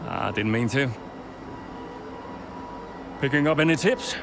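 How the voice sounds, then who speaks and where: An actor playing a tactful veteran racing driver in a game cutscene, speaking in an understated, guarded way. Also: male voice, mid-range voice, lively intonation, takes it slowly, creaky, noisy background. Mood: guarded, concerned. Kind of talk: naturalistic video game cutscene acting.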